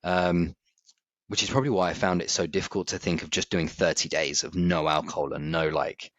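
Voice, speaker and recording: A man's voice: low voice, steady tone, brisk, a bit bright, dry recording. A man, talking candidly and reflectively as a podcast co-host, laughing easily. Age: 40s. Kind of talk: casual chat. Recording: dry, slightly dull audio.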